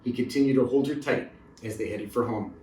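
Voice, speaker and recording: A man's voice: medium pitch, fairly quick, dark tone. A man reading a children's story aloud as a narrator, recorded in a roomy space away from the mic. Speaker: storybook reader. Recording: roomy echo, distant mic.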